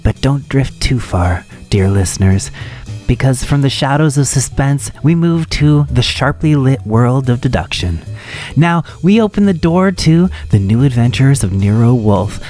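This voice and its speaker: Man, dark mellow tone, dramatic scripted host narration, soft music underneath. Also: medium pitch, lively intonation, a little slow. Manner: theatrical, alliterative announcer delivery. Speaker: host of an old-time radio show.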